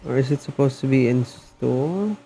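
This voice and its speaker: A man chatting casually on a game livestream in a hesitant, halting manner. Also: medium pitch, animated, vocal fry, warm tone, a little hiss or hum. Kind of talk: casual stream chat.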